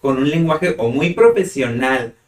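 A man's voice: medium pitch, animated, a little slow, a little mellow, recorded from a distance. A man from Tijuana with a northern Mexican accent, a psychologist answering interview questions thoughtfully and explaining with examples. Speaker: psychologist guest. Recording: roomy echo, set back from mic.

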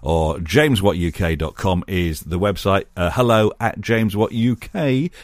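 A man hosting a podcast, asking casual, halting questions and reading out show details. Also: low voice, no room sound, clean studio sound. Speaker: podcast host. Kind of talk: interviewing, show announcements.

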